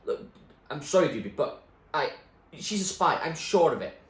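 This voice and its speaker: A man doing a rough teenage bully. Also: on the high side, sing-song, moderate pace, pitched above the performer's own voice. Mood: pleading. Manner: threatening, rough. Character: menacing teenage boy, a bully. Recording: echoey room, faint noise.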